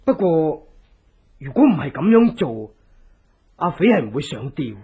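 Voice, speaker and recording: An actor playing a calculating, persuasive plotter in a Hong Kong Cantonese radio drama, speaking deliberately and theatrically. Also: male voice, fairly high pitch, very animated, breathy edge, narrow, tinny audio, heavily acted. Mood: scheming, persuasive. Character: calculating male plotter coaching a woman avenger.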